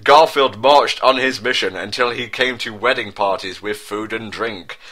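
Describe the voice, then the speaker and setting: A man's voice: on the low side, normal speed, pushed and bright. A man reading a comic story in mock-dramatic style, theatrically stressing clumsy wording. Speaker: narrator of comedic dramatic fan-fiction reading.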